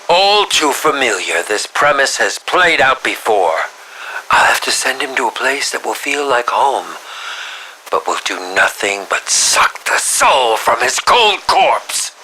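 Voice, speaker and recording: An actor voicing a melodramatic, sinister film director villain in a video game, drawing out his lines and dropping into breathy whispers. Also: fairly high pitch, bright, forceful tone, slight background noise, heavily acted, low-quality recording. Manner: melodramatic, drawn-out delivery with breathy whispered drops. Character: theatrical, sinister mad male film director villain, grieving and menacing. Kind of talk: scripted game villain monologue.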